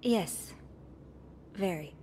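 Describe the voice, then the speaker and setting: Hesitant young hotel maid. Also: female voice, on the low side, expressive, dark tone, slight background noise. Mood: nervous, guarded. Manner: hesitant, halting. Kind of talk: naturalistic video game acting.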